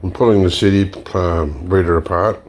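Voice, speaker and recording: Male hands-on demo host, deep and dark-toned, relaxed and a bit hoarse, thinks aloud while he works. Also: normal speed, slight background noise. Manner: relaxed, explains while working, frequent fillers and restarts. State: slightly hoarse, clearing throat. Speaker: e-waste recycling channel host. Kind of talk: casual hands-on demonstration.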